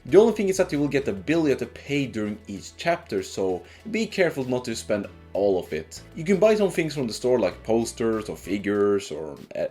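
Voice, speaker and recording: Lively male game reviewer over music. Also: medium pitch. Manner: informal, sweary. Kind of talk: casual scripted video game review narration.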